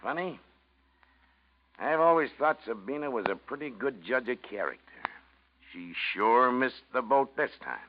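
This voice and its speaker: An actor playing a stern police chief in a 1940s radio drama, firm, plain-spoken and emphatic. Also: male voice, mid-range voice, animated, unhurried, pauses often, a little hiss or hum, natural voice. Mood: wry, subdued. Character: stern, incredulous small-town police chief. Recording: muffled vintage radio recording.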